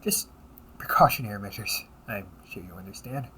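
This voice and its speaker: A man performs a demon-hunting officer for an audio roleplay, courteous and quietly smug. His voice sits a little low but has a bright, crisp edge. He speaks in measured, teasing phrases and slips into breathy asides, over a faint bed of background noise. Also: rough recording. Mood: apologetic, courteous. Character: wry, politely smug demon-hunting officer, calm and apologetic. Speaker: amateur voice actor. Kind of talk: audio roleplay, one-sided dialogue addressed to the listener.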